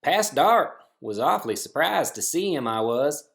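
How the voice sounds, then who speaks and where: A man's voice: on the high side, sing-song, normal speed, creaky, a bit bright, full character voice. A man playing a simple, agreeable slaughterhouse worker in a comic audio play, folksy and laconic. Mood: mild, agreeable.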